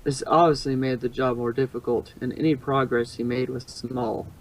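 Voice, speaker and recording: A man's voice: medium pitch, flat delivery, moderate pace, rough recording. A man reading fan fiction aloud as narrator, informal, sometimes stumbling and rereading a phrase. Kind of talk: casual storybook narration.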